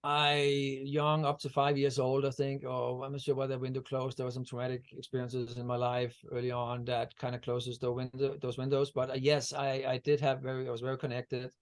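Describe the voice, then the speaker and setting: A man's voice: mid-range voice, completely flat delivery, a little fast, hardly any pauses. A man from Denmark, a guest on a video-call interview, talking about energy healing in a meandering way with many fillers and restarts. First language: Danish.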